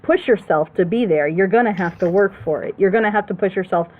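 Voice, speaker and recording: A woman's voice: medium pitch, a little fast. Slightly muffled, lo-fi recording of a woman with a mid-range voice. She talks casually and with opinions, as a commentator on a reaction show. She leans on points by repeating them emphatically.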